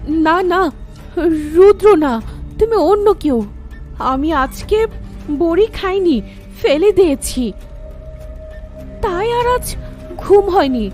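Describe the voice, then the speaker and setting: A woman voicing a young wife who tells her own horror story in the first person, in a slow, tense manner, for an animated ghost story. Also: very high-pitched, soft background music, exaggerated voice. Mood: frightened.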